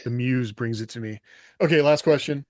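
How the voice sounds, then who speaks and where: A man's voice: medium pitch, moderate pace, a little mellow, no room sound, clean studio sound. A man hosting a podcast, putting questions to the group in a casual, drily joking way. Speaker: podcast host reading listener questions. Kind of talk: casual chat.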